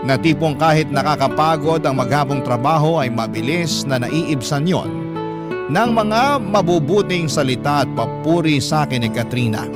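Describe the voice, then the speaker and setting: A man's voice: medium pitch, lightly acted. An actor playing a devoted, hardworking young family man with a Filipino accent, telling his hardships as an earnest, confiding first-person radio drama narration. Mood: earnest, heartfelt.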